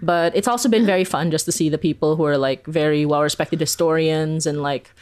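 Low-pitched woman chatting casually. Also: fairly quick, darkish tone. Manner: informal, giggly, peppers talk with "like". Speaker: interview guest, podcast creator. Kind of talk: casual interview chat.

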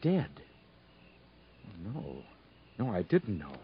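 An actor playing a stubborn but tender fugitive hiding in the woods in a 1950s radio drama. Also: male voice, on the low side, huge pitch swings, drawn-out words, full character voice. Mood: shaken. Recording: muffled, noisy 1950s radio broadcast recording.

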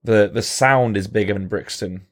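A man's voice: fairly low pitch, moderate pace. A man in a casual podcast chat, explaining things in detail with a dry sense of humour.

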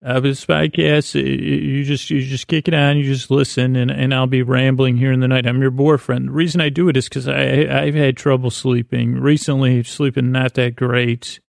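A man hosting a podcast with a halting, meandering bedtime monologue in a creaky voice, often trailing off mid-thought. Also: medium pitch, level tone, no echo, studio quality. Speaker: podcast host. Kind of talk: rambling comedic bedtime monologue.

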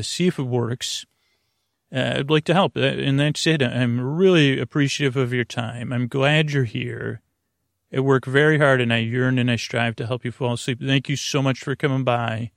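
A man with a creaky voice hosting a sleep podcast, delivering a lulling, halting bedtime monologue that meanders through digressions. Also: mid-range voice, no echo, clean studio sound. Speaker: podcast host.

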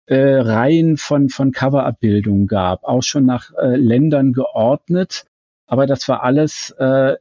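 A man gives a detailed, carefully worded explanation as a guest in an interview. His voice is mellow and mid-pitched, in a dry recording that sounds slightly muffled. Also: no room sound. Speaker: fan website co-founder, podcast guest.

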